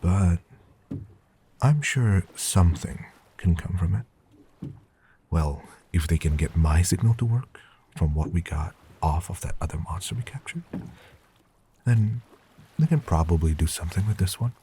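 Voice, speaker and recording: Audio drama hunter: male actor as an encouraging guild veteran, dark mellow voice, slow casual delivery, slight background noise. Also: deep voice. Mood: calm curiosity. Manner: unhurried, casual, approving.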